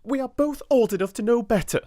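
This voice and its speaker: A man reading a storybook, voicing a kind young schoolgirl with a calm, firm, coaxing manner. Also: medium pitch, brisk, dry recording, clean studio sound, voice pushed up.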